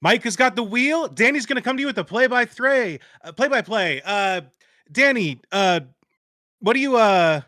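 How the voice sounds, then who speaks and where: A man playing an excited live sports reporter in a podcast table read, urgent and stumbling over words. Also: very high-pitched, normal speed, no echo, clean studio sound, full character voice. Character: excited field reporter on a live game broadcast.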